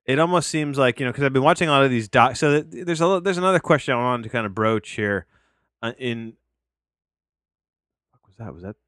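A man's voice: mid-range voice, animated, a little fast, pauses often, a bit bright, no room sound, studio quality. A man in his late thirties hosting a podcast, reviewing a documentary in a loose, rambling way, restarting sentences and swearing often, recording at home. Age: 30s. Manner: rambling, profane, self-interrupting. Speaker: podcast host. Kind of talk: casual film review. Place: home, tree crew working outside. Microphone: headphones on, home recording.

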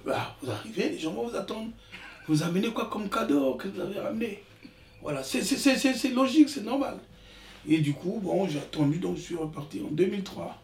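A man in his sixties from the Republic of the Congo tells his story in an animated way, stumbling and restarting as he goes. His voice sits a little high for a man. He sounds set back from the mic in a roomy, echoing space. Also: brisk, creaky, a little hiss or hum. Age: 60s. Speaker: interviewee, father. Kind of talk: casual interview talk. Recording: echoey room, distant mic.

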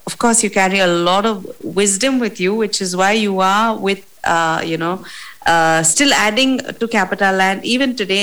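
A woman's voice: medium pitch, fairly bright tone, clear, resonant tone. Upbeat woman hosting an interview show. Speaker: podcast host.

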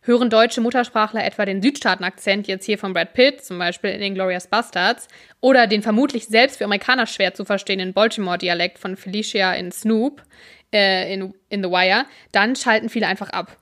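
A woman's voice: medium pitch, fairly quick, a bit bright. Young woman, casual co-host, long explanations with frequent fillers. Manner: explains at length, often hesitates with fillers. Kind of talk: casual chat about subtitles and series.

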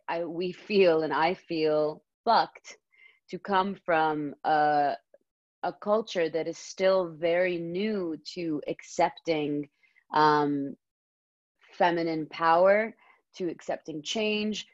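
A woman's voice: low voice, no echo, dull audio. A woman from Saudi Arabia, a guest talking expressively and at length in a casual interview over a video call. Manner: expressive, talkative, laughs often. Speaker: guest, comedy series creator.

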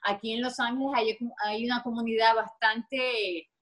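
Venezuelan woman, chatty and lively. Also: on the high side, moderate pace. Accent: Venezuelan, Maracaibo. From Venezuela (Maracaibo, Zulia). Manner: chatty, many fillers and restarts. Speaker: actress guest. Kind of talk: interview conversation.

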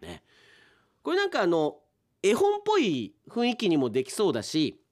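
Male radio host, higher-pitched and very animated, casual and chatty with appreciative asides. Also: moderate pace. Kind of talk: casual radio talk reading listener mail.

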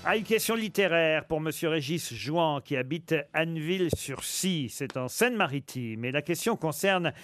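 A man's voice: fairly high pitch, animated, normal speed, few pauses, fairly bright tone, faint music behind. A man hosting a radio quiz show, putting questions to the panel with teasing banter. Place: radio studio.

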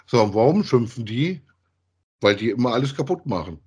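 A man with an average-pitched, slightly husky voice talks casually, as on a hobby podcast. He rambles in everyday language and often tacks little tag questions onto his phrases. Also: very animated, fairly quick, darkish tone. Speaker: podcast host. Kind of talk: casual conversational review of audio dramas.

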